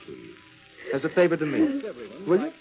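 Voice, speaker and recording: An actor playing an earnest, insistent doctor husband in a 1940s radio drama, coaxing and reassuring. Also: male voice, on the high side, huge pitch swings, normal speed, gravelly, exaggerated voice. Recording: muffled vintage radio, organ-era music behind.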